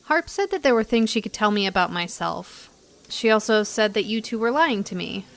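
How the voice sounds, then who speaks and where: A woman's voice: medium pitch, animated, moderate pace, gravelly, a bit bright. A woman playing a bewildered young woman seeking answers in an audio drama. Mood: questioning.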